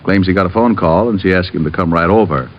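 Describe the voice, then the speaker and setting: Actor as a wry, low-voiced radio private eye. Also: male voice, fast talker, slight background noise, natural voice. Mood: probing, measured. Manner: wry, wisecracking. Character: wry, easygoing male private detective. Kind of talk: 1950s radio detective acting and narration. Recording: dull old radio recording, music behind.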